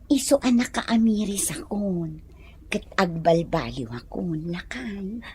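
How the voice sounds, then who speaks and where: A woman acting an irritable, sharp-tongued wife in an Ilocano radio comedy drama, with an Ilocano accent. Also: mid-range voice, lively intonation, unhurried, a little hiss or hum, natural voice. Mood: soft, apologetic.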